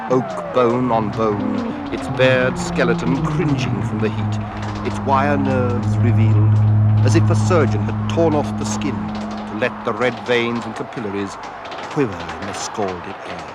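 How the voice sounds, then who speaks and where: A man's voice: medium pitch, a little slow, loud music behind the voice. A man giving an intense, theatrical narration of a story, as in a scripted radio drama. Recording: noisy 1970s radio drama with effects. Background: loud sound effects.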